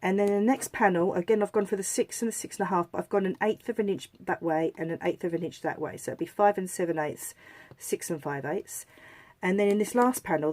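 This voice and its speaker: Female craft presenter, slightly low voice, coming down with a cold, chatty and meandering, casual how-to delivery. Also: a little mellow, no room sound. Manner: chatty and rambling, corrects herself mid-sentence. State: has a head cold coming on. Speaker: craft tutorial host. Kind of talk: casual step-by-step craft demonstration.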